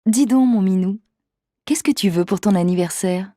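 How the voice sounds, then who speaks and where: A woman's voice: medium pitch, moderate pace, warm tone, dry recording, studio quality, close to an everyday voice. A woman acting as an affectionate, insistent wife in a scripted language-lesson dialogue. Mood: affectionate, coaxing. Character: affectionate, insistent wife planning a birthday gift.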